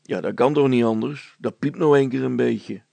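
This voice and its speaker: A man's voice: medium pitch, normal speed, vocal fry, darkish tone, dry recording, natural voice. A man voicing a stolid, plain-spoken handyman in a story, matter-of-fact and respectful.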